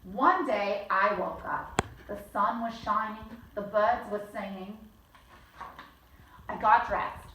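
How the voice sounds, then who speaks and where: A woman's voice: medium pitch, slow pace, long pauses, noisy background. A woman reading a children's bedtime story aloud as host, chatting between lines and acting out the characters. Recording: echoey room, mic at a distance.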